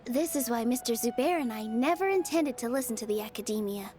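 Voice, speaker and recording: Actress as young female game character, high sweet pitch, gentle, earnest, wistful storyteller, music behind. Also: lively intonation, a little fast, lightly acted. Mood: warm, earnest. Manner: soft, sincere, wistful storytelling. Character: gentle, earnest young woman theater dancer. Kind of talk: video game voice acting.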